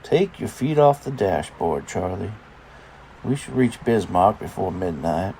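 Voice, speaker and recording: Casting: middle-aged dad on the road, weary and terse, mellow timbre, widely swinging pitch, audio drama. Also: male voice, animated, a little slow, gravelly, a little hiss or hum, full character voice, poor audio. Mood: firm.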